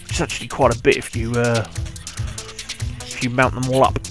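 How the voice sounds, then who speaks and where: A man's voice: mid-range voice, little pitch movement, a little fast. A British man giving casual, informal live commentary on a video game, muttering and repeating himself as he plays. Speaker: gameplay commentator. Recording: game music behind the voice.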